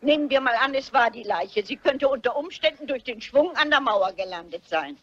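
Husky, shrewd old lady sleuth. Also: high voice, expressive, a bit bright, dull audio. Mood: bossy, eager. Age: older. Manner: brisk, self-assured, commanding. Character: shrewd, stubborn elderly female amateur sleuth. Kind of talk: German radio crime drama acting.

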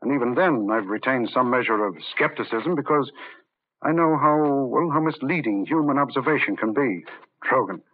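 An actor playing an intense, rational but rattled doctor in an old-time radio drama, speaking urgently and emphatically. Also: male voice, mid-range voice, expressive, moderate pace, slightly rough. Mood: sheepish. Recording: muffled narrow-band old radio recording.

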